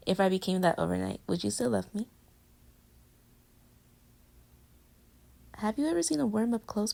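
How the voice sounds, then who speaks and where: Woman as playful girlfriend, audio roleplay. Gravelly vocal fry, mid pitch, intimate and teasing pillow talk. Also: warm tone. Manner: casual, teasing, conversational with giggles. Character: playful, teasing, affectionate girlfriend cuddling in bed.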